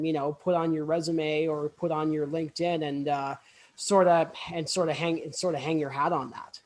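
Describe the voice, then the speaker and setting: A young man co-hosting a casual podcast interview, halting and repeating his phrases several times as he builds a thought. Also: fairly high pitch, darkish tone. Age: young adult. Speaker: student podcast co-host.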